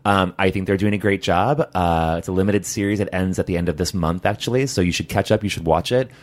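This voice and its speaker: A man's voice: sits low, fast. A man co-hosting a podcast, chatting casually about shows he recommends, rambling with lots of fillers and restarts. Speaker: podcast co-host.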